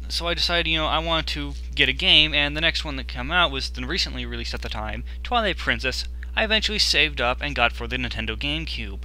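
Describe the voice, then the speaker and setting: A man's voice: mid-range voice, bright, forceful tone. A man casually presenting video game commentary in a rambling way, often correcting himself as he goes. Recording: noisy hum, harsh home recording.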